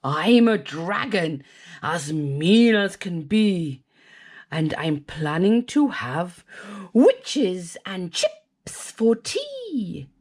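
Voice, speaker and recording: A woman voicing a mean, greedy storybook dragon in a drawn-out way. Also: lowish voice, very animated, a little mellow, heavily acted. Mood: menacing. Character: mean, greedy dragon licking his lips. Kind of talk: storybook character voice.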